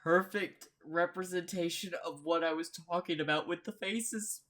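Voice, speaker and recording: A man's voice: sits high, moderate pace, a bit bright. A man hosting a reaction video with casual, rambling commentary, easily amused.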